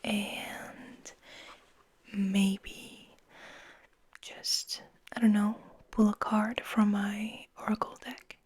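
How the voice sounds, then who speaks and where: Breathy whispering woman, slow gentle delivery, intimate ASMR host, soft-spoken. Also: medium pitch, little pitch movement, dry recording.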